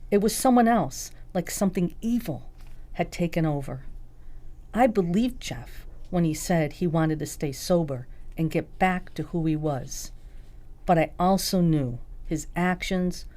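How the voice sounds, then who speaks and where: A woman with a low-pitched voice reads a personal account aloud. It is scripted first-person narration, as in a memoir audiobook. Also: measured pace, mellow.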